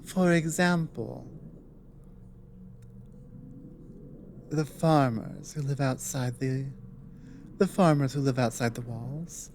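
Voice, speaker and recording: A woman plays a grave female dragon who has survived a long war. She speaks slowly in a mellow tone, earnest and halting, leaving dramatic pauses. It is an ASMR audio drama with clear background noise. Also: low-pitched, heavily acted. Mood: grave, resolute.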